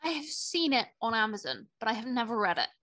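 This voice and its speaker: A woman's voice: a little high, very animated, quick pace, bright, forceful tone, dry recording. A woman co-hosting a podcast, chatting casually about books with emphatic enthusiasm. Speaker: podcast co-host. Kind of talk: casual book chat.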